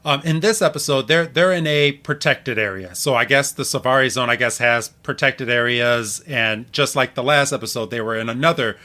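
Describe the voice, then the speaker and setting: A man hosting a podcast in a casual recap style, halting and restarting his words, often chuckling. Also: mid-range voice, sharp tone. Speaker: podcast host. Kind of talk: casual episode recap chat.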